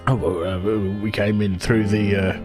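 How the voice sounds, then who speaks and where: Man playing a flustered, stammering low-voiced theater manager. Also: steady tone, normal speed, music in the background, close to an everyday voice. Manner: hesitant, stammering, repeats himself. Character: flustered, anxious male opera house manager.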